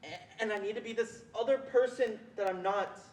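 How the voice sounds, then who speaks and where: Young man as anxious schoolboy, high voice, distant. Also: normal speed, lightly acted. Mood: anxious, confessional. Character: anxious, self-doubting boy looking back on school. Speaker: student performer. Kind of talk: reader's theatre monologue. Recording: echoey, distant mic, faint noise.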